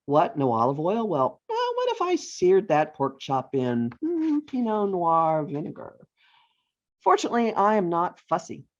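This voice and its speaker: A middle-aged or older woman reading her own humorous essay aloud with deliberate phrasing and wry emphasis, as an author on a video call. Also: deep voice. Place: virtual author talk over video call. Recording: dull, lo-fi online call audio.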